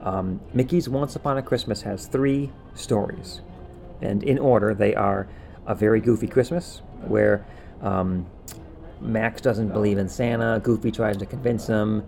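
A man's voice: lowish voice, fairly even intonation, measured pace, creaky, a little mellow, slight background noise. A man co-hosting a podcast, chatting casually and recapping in a rambling, offhand way. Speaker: podcast co-host.

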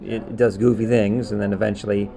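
A man's voice: on the low side, flat delivery, fairly quick, mellow. A man co-hosting a podcast, chatting casually and recapping in a rambling, offhand way. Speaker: podcast co-host.

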